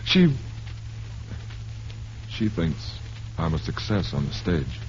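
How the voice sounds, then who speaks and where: An actor playing a desperate down-and-out performer in an old-time radio drama, pleading earnestly and haltingly. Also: male voice, low-pitched, sing-song, heavily acted. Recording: muffled, hissy old radio recording.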